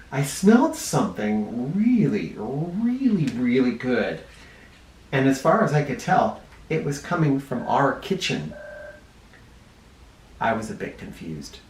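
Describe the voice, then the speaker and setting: A man reading a children's picture book aloud in an expressive way, with dramatic emphasis. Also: medium pitch, takes it slowly, pauses often. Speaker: storybook reader for kids. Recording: roomy echo, distant mic.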